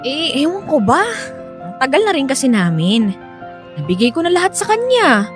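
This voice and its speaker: A woman's voice: high-pitched, big pitch swings, moderate pace, over music. A Filipino woman playing a lovelorn, conflicted schoolteacher in a radio drama. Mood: heartbroken. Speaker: voice actor and narrator in a radio drama. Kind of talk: Filipino radio drama acting and first-person narration.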